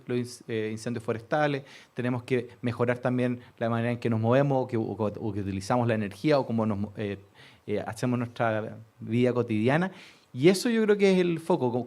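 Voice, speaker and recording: Male official, mid-pitch, calm and hopeful, policy talk. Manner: optimistic, measured, some restarts. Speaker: government official on a panel. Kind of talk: radio panel discussion.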